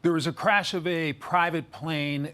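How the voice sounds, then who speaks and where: Measured male news anchor. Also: on the high side, steady tone, a bit bright. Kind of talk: television news interview.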